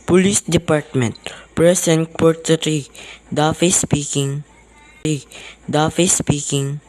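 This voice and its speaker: A woman's voice: deep voice, steady tone, slow, a little mellow, low-quality recording. A woman playing a businesslike police desk officer answering the phone in an amateur radio play. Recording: faint background noise.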